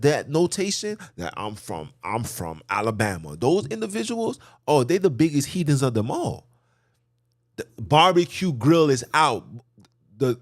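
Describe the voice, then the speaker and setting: A man hosting a podcast, preaching a monologue with animated, emphatic delivery, often jumping high in pitch and swinging between loud and hushed. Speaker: podcast host.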